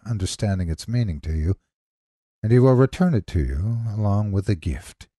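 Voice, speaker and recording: A man with a low, husky voice tells a horror tale in dramatic, deliberate phrases. His delivery swings widely in pitch to build suspense. The recording is dry and close. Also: dark tone, no echo. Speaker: horror story narrator. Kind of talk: scripted dramatic horror narration.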